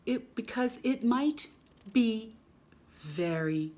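A middle-aged woman hosting storytime, reading to children in an expressive, drawn-out way with playful emphasis. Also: fairly high pitch. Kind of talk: reading a picture book aloud to children. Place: indoors in a home room.

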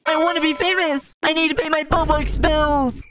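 A woman's voice: high voice, fairly even intonation, normal speed, over music, lightly acted. A woman voicing a whiny young boy who craves fame, pleading in a begging voice.

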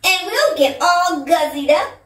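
A vain storybook hen, acted out by a woman, gushing and preening in a very high, slow, drawn-out voice. It is theatrical and boastful, recorded at a distance in an echoey room. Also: lively intonation, a bit bright, heavily acted. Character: vain, excited female hens, preening and boastful.

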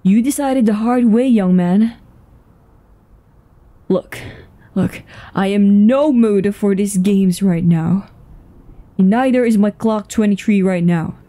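A woman's voice: medium pitch, takes it slowly, pauses often, dark tone, close to an everyday voice. A woman voice actor playing a tense, foul-mouthed, commanding woman with a secret in a dramatic audio roleplay, urgent and swearing. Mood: cold, threatening. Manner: swearing, urgent, breathy sighs and stammers. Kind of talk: dramatic ASMR roleplay acting.

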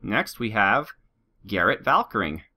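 Animated, joking, slightly sick man. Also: fairly low pitch, measured pace. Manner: animated, joking, talks to his troops and viewers. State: slightly sick, a bit nasal; sore throat. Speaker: let's play host. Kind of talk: gameplay commentary.

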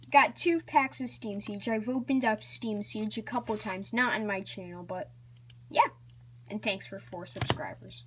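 A woman hosting a casual card-opening vlog, talking in a hesitant, rambling way with many ums and restarts, sometimes dropping to a soft whisper. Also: mid-range voice, background noise. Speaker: channel host.

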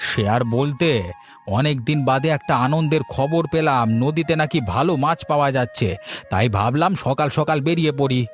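A man's voice: a little high, brisk, lightly acted. Man doing a jovial old village uncle. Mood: cheerful. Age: older. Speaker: voice actor. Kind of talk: cartoon dubbing. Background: music.

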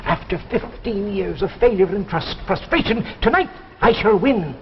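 An older man playing an obsessed, desperate elderly alchemist father, with theatrical, excitable delivery in a 1950s television drama. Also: sits high, normal speed, slight background noise. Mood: feverish hope. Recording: dull old TV soundtrack, loud music behind.

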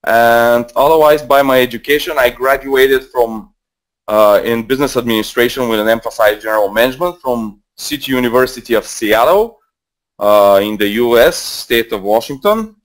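A man's voice: mid-range voice, moderate pace, rough recording. Bulgarian man in his twenties, rambling. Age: 20s. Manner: casual, rambling with many fillers and restarts. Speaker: trading mentor. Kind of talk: live webinar presentation. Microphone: headset.

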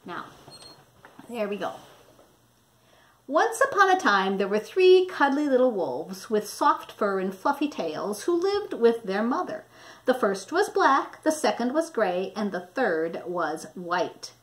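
A woman in her sixties reading a storybook aloud to children in an animated, deliberate, teacherly manner. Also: mid-range voice. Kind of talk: expressive read-aloud to children. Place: small indoor room.

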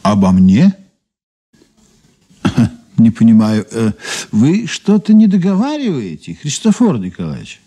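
Soviet radio drama detective, soft dark husky voice, polite but probing, really expressive pitch. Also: male voice, unhurried, long pauses, exaggerated voice. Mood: feigned puzzlement. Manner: polite, questioning, hesitant probing. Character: polite, probing male investigator, patient and wily. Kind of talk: Soviet radio play acting, 1980s.

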